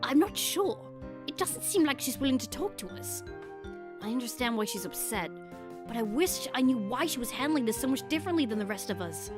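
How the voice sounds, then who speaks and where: A woman's voice: on the high side, sing-song, brisk, over music. A woman voicing a worried, kindly male penguin inventor and director in a game story, hesitant and apologetic. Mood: pensive.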